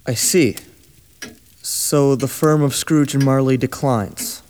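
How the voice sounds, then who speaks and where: An actor playing a polite, earnest gentleman in a radio drama, formal and courteous. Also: mid-range voice, fairly even intonation, slow, slight background noise, natural voice. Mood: earnest, disappointed.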